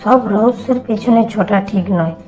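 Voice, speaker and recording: A gentle village grandmother from a cartoon story, voiced by a woman. She is soothing and wise, with a mid-pitched voice and faint music behind her. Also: normal speed, natural voice, rough recording. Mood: soothing, advising. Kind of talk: Bengali animated horror story dialogue.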